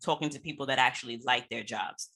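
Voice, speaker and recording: A woman's voice: very deep voice, fast talker, fairly bright tone, slightly rough. A woman hosting a casual chat interview, informal and affirming, with colloquial phrasing. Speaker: podcast host, interviewer.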